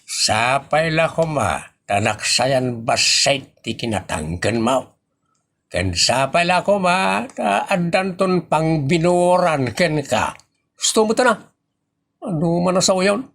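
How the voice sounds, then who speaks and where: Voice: mid-range voice, animated, slow pace, a bit bright, exaggerated voice. A performer with an Ilocano accent playing a nagging, moralizing villager in a comedy audio drama, speaking in an admonishing way. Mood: reproachful.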